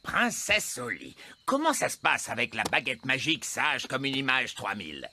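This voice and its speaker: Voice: mid-range voice, wildly swooping pitch, normal speed, pushed and bright, a little hiss or hum, exaggerated voice. An actor playing a pompous, wordy old male elf in a cartoon dub. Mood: smug. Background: music.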